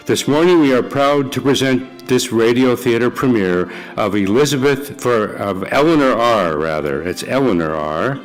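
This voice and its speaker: A man's voice: mid-range voice, low-quality recording. A man hosting a live radio theater broadcast, announcing and introducing to an audience. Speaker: host, producer of radio theater. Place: church before a live audience.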